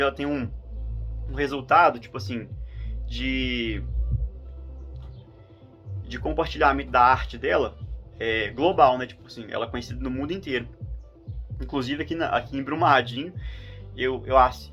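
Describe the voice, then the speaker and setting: A man's voice: medium pitch, fairly bright tone. Guy from rambling to the camera, lots of fillers, some faint music under him. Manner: meandering, full of fillers and restarts. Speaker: vlogger. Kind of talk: rambling casual monologue to camera.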